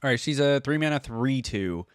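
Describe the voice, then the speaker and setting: A man's voice: medium pitch, animated, normal speed, clear, resonant tone, dry recording, studio quality. A man giving casual reaction commentary as a video host, informal and musing as he thinks aloud. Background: music from the videos being played.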